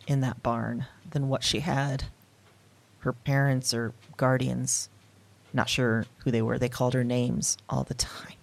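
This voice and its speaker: A woman playing a weary, wry modern woman in an intimate audio drama monologue, speaking in a reflective, halting, confiding way. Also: very deep voice, gravelly, darkish tone, full character voice. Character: weary, wry, candid modern woman recounting her dreams.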